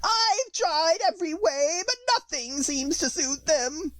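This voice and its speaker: A frantic mother bird from a storybook, pitched very high and swooping about. She scolds and complains in a shrieking, exasperated way, full of suspicion and sulky scorn. The sound is close and dry. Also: lively intonation, takes it slowly, no room sound, exaggerated voice. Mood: exasperated, sobbing.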